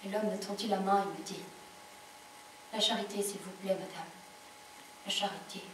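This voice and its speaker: An actress playing a wistful, fiery, worn-down laundress in a dramatic theatrical monologue. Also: female voice, mid-range voice, steady tone, moderate pace, lightly acted. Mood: desperate, weary. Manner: dramatic storytelling, swinging from hushed to shouted. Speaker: actress performing a stage monologue. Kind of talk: theatrical French monologue with sung passages. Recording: echoey room from far off, faint noise.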